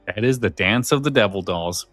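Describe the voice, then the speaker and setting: A man's voice: on the low side, moderate pace, a bit bright, no room sound, soft background music. A man co-hosting a podcast, talking casually about his favourite stories. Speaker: podcast co-host.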